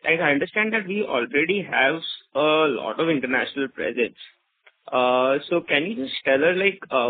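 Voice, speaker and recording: A male analyst with an Indian accent speaks on a conference call in a questioning, businesslike register. His pitch is average, and the sound is thin and telephone-like. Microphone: phone call. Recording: narrow tinny phone line.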